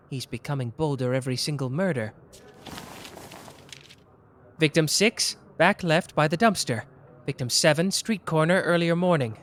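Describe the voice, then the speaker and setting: Man playing a sharp playful young detective, ASMR roleplay, teasing, animated delivery. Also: on the high side, a little hiss or hum. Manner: teasing, quick-witted. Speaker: voice actor.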